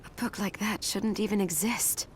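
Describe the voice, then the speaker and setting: An actress playing a determined young heroine in a video game, earnest and direct. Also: female voice, mid-range voice, fairly even intonation, normal speed, a little hiss or hum, natural voice. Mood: grave.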